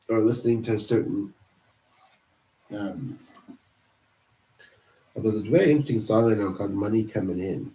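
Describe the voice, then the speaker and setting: Man, congested from a cold, solo livestream chat, halting and hesitant, mellow tone, echoey room, distant mic. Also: lowish voice. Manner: halting, full of pauses and restarts. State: sick with a congested voice. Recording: roomy sound from off-mic.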